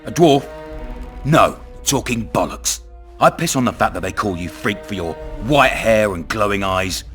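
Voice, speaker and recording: An actor with a British accent playing a crude, cunning, menacing town commandant in a video game. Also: male voice, fairly low pitch, expressive, a little slow, a bit bright, faint music behind. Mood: forceful, contemptuous. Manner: domineering, coarse, swaggering. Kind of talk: video game dramatic dialogue.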